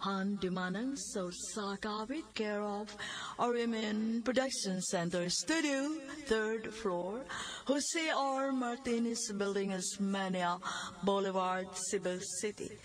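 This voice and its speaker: A woman's voice: medium pitch, slow. A woman with a Filipino Cebuano accent doing scripted announcing for a radio drama.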